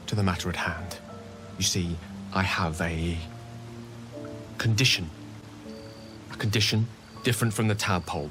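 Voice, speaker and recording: An actor playing a courteous, learned wizard with a grave secret, speaking in formal, wordy video game dialogue. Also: male voice, deep voice. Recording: music and rain behind the voice. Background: music, rain.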